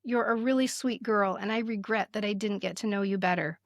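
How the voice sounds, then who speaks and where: A woman's voice: mid-range voice, fairly quick, no echo, studio quality. A woman in her fifties speaking as a podcast host, telling stories candidly in casual chat and sometimes reading aloud. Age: 50s. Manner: candid, chatty storytelling.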